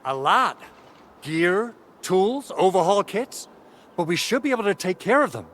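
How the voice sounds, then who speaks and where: A man's voice: high-pitched, big pitch swings, unhurried, fairly bright tone, full character voice. A man voicing a confident, enthusiastic planner who briefs his team in a video game. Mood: confident, explanatory. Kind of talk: scripted game dialogue, explanatory. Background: game music.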